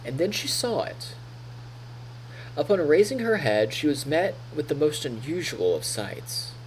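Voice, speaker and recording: A man's voice: on the high side, sing-song, measured pace, slight background noise, low-quality recording. A man streaming, reading narration from a visual novel aloud and adding casual comments. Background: game music.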